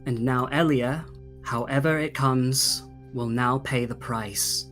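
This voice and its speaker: Male game master, slow pace, middle pitch, dramatic pauses, deliberate narration, faint music behind. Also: steady tone, fairly bright tone.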